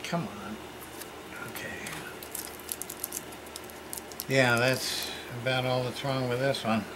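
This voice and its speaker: Slow-talking middle-aged man over heavy noise. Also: medium pitch, fairly even intonation. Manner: muttering to himself in short remarks. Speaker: hobbyist unboxing a radio parts package. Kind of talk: casual unscripted unboxing commentary. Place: small cluttered room indoors. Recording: very noisy home camcorder audio, rustling.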